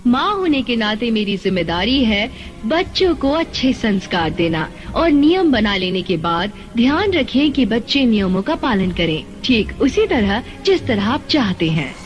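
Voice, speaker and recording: A woman playing a strict, principled mother giving a firm, preachy lecture in an animated film dub, in dull sound. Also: a little high, measured pace, soft background music.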